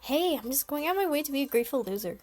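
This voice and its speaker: A woman's voice: sits high, lively intonation, racing, soft background music, voice pushed up. A woman voicing a brash, teasing girl who hates losing.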